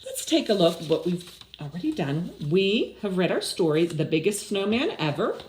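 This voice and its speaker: A woman's voice: lowish voice, very animated, normal speed, a little mellow, slight background noise. An older woman hosting story time for children, reading and chatting expressively with questions for young listeners. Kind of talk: picture-book read-aloud and chatting to children. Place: small indoor room with blinds.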